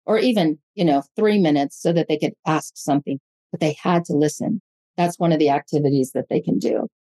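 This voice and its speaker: A middle-aged woman, a parenting coach, explaining things step by step in a measured, casual way. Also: deep voice, mellow. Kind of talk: casual conversation.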